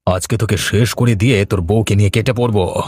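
Man acting a menacing low-voiced village villain. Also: fairly even intonation, fairly quick, darkish tone, husky, no room sound. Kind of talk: Bengali horror cartoon dialogue.

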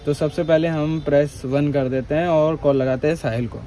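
Higher-pitched young man, noisy background. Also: steady tone, moderate pace, dark tone. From India. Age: 20s. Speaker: mobile gadget shop presenter. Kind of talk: product demo and sales pitch. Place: small mobile accessories shop.

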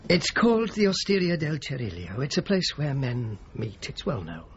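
An actor playing an earnest, discreet man of faith in a radio drama, speaking in a hesitant, measured way. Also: mid-range voice, wildly swooping pitch, muffled recording, a little hiss or hum. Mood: grave, confiding.

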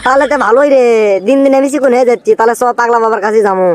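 A skinny, wisecracking cartoon lad teases sceptically in a Bogura accent. His pitch is extremely high and his delivery races along. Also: racing. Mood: curious, cheeky. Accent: Bogura, northern Bangladesh. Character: skinny, gullible, wisecracking young male village friend. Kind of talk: comic cartoon dialogue.

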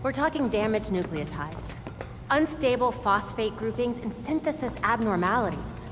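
A young woman voicing a brisk, analytical vigilante hero in a game cutscene. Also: a little high, lively intonation, lightly acted. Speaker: tech-savvy vigilante hero. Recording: reverberant cutscene with music.

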